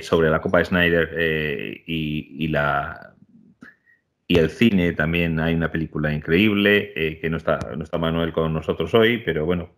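A man co-hosting a podcast, calmly listing past topics over a video call. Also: rumbling low. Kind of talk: casual panel chat.

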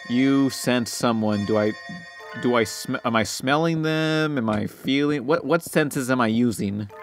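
A man giving casual, sarcastic, sweary let's play commentary, joking and reading game text aloud. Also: mid-range voice. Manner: sarcastic, profane. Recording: clear background noise.

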